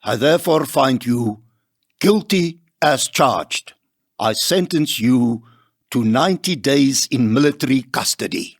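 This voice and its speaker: A stern, husky magistrate. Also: male voice, medium pitch, unhurried, no echo, clean studio sound. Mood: stern, contemptuous. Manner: stern, formal, pompous. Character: stern, pompous male magistrate passing sentence. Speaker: actor in audio drama trailer. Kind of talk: dramatic audio drama acting.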